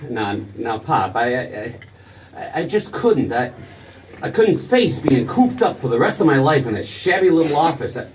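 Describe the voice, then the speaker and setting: Man acting a restless young dreamer, lively pitch. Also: moderate pace, lightly acted. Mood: torn, earnest. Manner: stammering, repeating words. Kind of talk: 1940s radio drama acting. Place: theater stage. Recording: distant stage pickup with faint noise.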